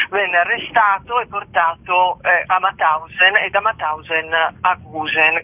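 A woman, a theatre author and actress, speaking in a radio interview over the phone, hesitant, with many restarts. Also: fairly low pitch. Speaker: guest playwright and actress. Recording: narrow tinny phone line.

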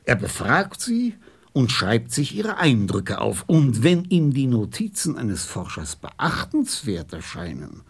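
A pompous old geographer in a radio play. The voice is husky and slow, with big swings in pitch. Every statement comes out pedantic and full of self-importance. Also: male voice, huge pitch swings, heavily acted. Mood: self-important.

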